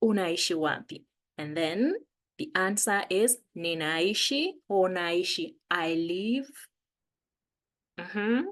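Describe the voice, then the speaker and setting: A woman's voice: lowish voice, lively intonation, takes it slowly. A woman with a Kenyan accent teaching a beginner language lesson, patiently repeating phrases.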